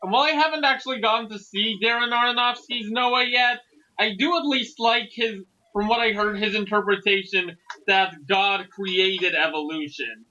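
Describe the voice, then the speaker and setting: Casting: male co-host, piercingly bright delivery, opinionated casual chat, lo-fi sound. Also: high-pitched, normal speed. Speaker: co-host commentator. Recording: dull, lo-fi voice recording.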